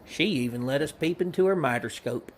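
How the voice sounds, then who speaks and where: An actor playing a cheerful, simple-minded young outlaw sidekick who rambles guilelessly in a folksy rural American Western drawl, in a comedy audio drama. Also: male voice, fairly high pitch, fairly quick, soft background music, lightly acted.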